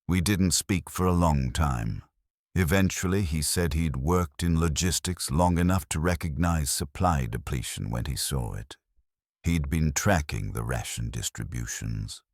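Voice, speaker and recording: A man narrating a dramatic first-person story in a grave, measured manner, with short deliberate phrases. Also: extremely low pitch, darkish tone, dry recording, clean studio sound.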